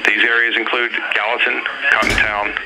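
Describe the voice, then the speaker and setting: A man's voice: on the low side, flat delivery. Man reading weather bulletins over noisy radio. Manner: halting, matter-of-fact, pausing through lists of places. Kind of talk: live, unscripted severe weather bulletin. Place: weather service office, heard over radio.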